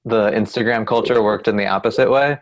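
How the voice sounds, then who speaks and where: A man's voice: on the low side, a little fast. A man in his early thirties, a juggler, chatting reflectively on a casual group video call. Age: 30s.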